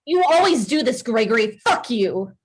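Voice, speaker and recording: A woman playing a blunt, exasperated, jealous wife with a husky voice in a screenplay table read. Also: fairly high pitch, big pitch swings, moderate pace. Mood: hurt, angry. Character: sharp, hurt, jealous wife, furious in crisis.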